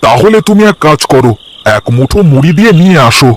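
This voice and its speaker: A man voicing an eager, defensive village vegetable seller in a cartoon, chatty and folksy with drawn-out hesitations. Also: on the high side, expressive, lightly acted. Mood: casual, homely. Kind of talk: cartoon voice acting.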